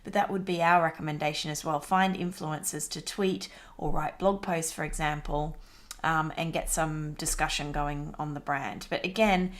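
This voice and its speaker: A woman's voice: low-pitched, fairly even intonation, normal speed. A woman presenting a marketing assignment as a casual screen-recorded walkthrough, talking conversationally with frequent fillers. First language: English.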